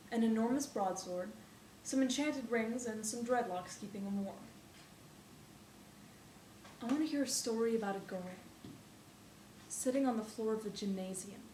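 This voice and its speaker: Woman playing a wistful dreamer in a stage monologue, lyrical and deliberate, medium pitch, some noise. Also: a little mellow, roomy sound, lightly acted, poor audio. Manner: deliberate, lyrical, repetitive phrasing. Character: wistful dreamer longing to hear a fanciful story. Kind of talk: theatrical monologue. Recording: noticeable background noise.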